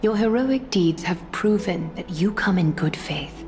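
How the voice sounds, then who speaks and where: Low-voiced regal noblewoman, softly formal. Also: expressive, faint music behind. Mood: gracious, solemn. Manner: formal, measured, courtly. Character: composed, regal noblewoman leader, gracious and formal. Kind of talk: video game voice acting.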